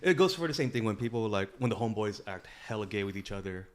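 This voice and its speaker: Male podcast co-host, rapid talker with a fairly low voice, informal and slangy. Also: animated. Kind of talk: casual podcast chat.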